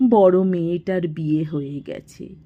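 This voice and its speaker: Slow, low-voiced woman storyteller. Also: steady tone, phone-quality sound. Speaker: audio story narrator. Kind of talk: literary story narration.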